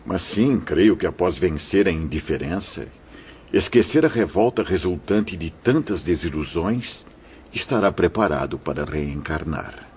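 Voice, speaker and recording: A grave, fatherly priest of an audio drama, preaching kindly and in measured phrases. His voice is deep and highly animated in its melody. The sound is muffled, with clear noise behind it. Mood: grave, reassuring. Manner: paternal, measured, sermonizing. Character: kindly, grave priest guiding troubled souls.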